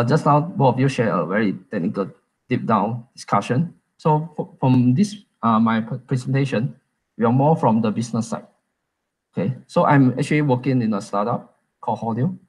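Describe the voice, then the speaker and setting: A young man from Malaysia giving a casual, halting talk at a tech meetup, with many fillers and restarts. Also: medium pitch, darkish tone, slightly rough, rough recording. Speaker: conference speaker.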